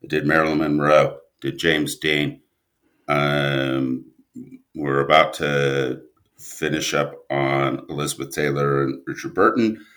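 Man, deep voice, slow pace, deliberate pauses, emphatic phrases, conversational interview answers. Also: steady tone, a bit bright. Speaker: podcast guest, writer and director.

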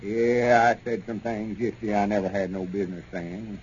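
An actor playing a stubborn, earnest small-town Southern businessman with a halting, folksy manner in 1930s radio comedy. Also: lowish voice, little pitch movement, a little mellow, natural voice. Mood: contrite. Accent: rural Southern US. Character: proud, stubborn, conscientious small-town country businessman, a man. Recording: dull, noisy 1930s radio recording.

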